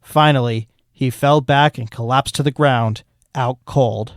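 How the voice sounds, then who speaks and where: A man's voice: medium pitch, steady tone, a little slow, dry recording, clean studio sound. Man narrating a fan story. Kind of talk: fan fiction reading.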